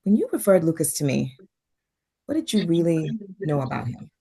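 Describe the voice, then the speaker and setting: A woman playing a composed but strained doctor, quietly confrontational, in a table read. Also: low-pitched, sing-song, moderate pace, vocal fry, slightly rough, full character voice.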